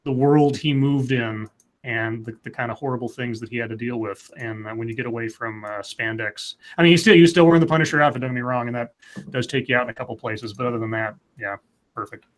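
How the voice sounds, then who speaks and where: A man in casual discussion on a comics show, emphatic and halting as he thinks aloud. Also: mid-range voice. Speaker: panelist on a comics livestream.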